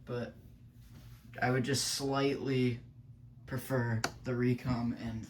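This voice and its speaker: A man's voice: mid-range voice, flat delivery, slow, background noise. A man giving a casual, unscripted product review as a hobbyist, thinking aloud in a loose, hesitant way. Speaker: weather radio hobbyist reviewing gear.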